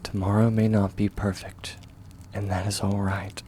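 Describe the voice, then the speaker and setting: A man voicing a kind, steadfast young warrior in a comfort ASMR roleplay, speaking gently and reassuringly in a measured, often hushed way. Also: lowish voice, level tone, slight background noise, close to an everyday voice. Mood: soothing, earnest.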